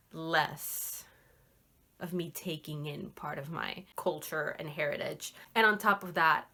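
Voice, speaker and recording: Low-pitched young woman chatting casually. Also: sharp tone. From Puerto Rico. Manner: candid, informal, occasional swearing. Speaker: book vlogger. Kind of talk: casual chat to viewers.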